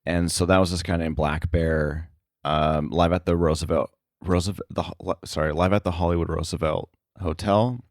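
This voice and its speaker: A man with a very low voice speaks casually as a guest in an interview. He explains things thoughtfully, with some hesitation. The sound is dry, with hardly any room in it. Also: steady tone, normal speed, dry recording. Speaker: podcast guest, sound effects editor.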